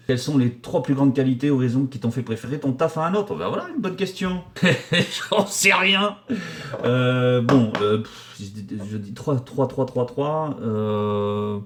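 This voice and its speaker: A man in his forties from the Paris region answers viewer questions about his work as a painter and decorator. He talks in a loose, joking way with crude humor, many fillers and restarts. His voice sits at an ordinary middle pitch. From Paris region, France. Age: 40s. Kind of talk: casual vulgar chat.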